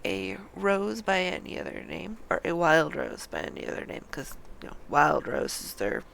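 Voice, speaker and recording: A woman's voice: lowish voice, very animated, moderate pace, vocal fry, a bit bright, a little hiss or hum. A congested woman streamer, under the weather, chatting apologetically while she plays and reads game text aloud. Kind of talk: casual gameplay commentary and reading.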